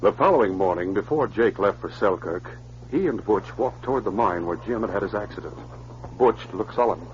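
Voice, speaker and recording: Serious frontier adventure narrator, male, deep and measured, 1940s radio style, slightly hissy vintage sound. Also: animated, lightly acted. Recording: muffled, hissy old radio recording.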